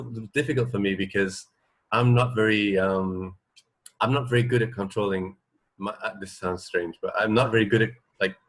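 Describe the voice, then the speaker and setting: A man co-hosting a radio show in casual chat, talking in a hesitant, searching way with repeated words and little check-in questions. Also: lowish voice. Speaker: radio co-host.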